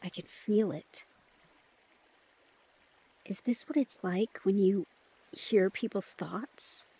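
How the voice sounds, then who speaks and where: Woman playing a husky, inquisitive young female vampire. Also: mid-range voice, slow pace, poor audio. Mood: curious, playful. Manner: probing, gently teasing. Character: empathic, inquisitive, caring young woman, newly turned vampire. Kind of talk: intimate audio drama acting.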